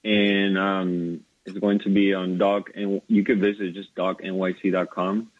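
A man, a documentary filmmaker, answering interview questions hesitantly with many pauses. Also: low-pitched, little pitch movement, no echo, narrow, tinny audio.

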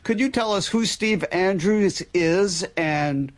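Man, radio host, friendly, fumbling restarts, slightly high voice. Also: slow pace. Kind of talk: radio interview.

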